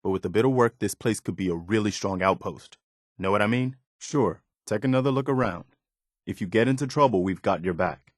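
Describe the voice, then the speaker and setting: An actor voicing an earnest, resolute militia leader who rallies settlers, in video game dialogue. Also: male voice, fairly low pitch, brisk, dry recording, studio quality, lightly acted. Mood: businesslike.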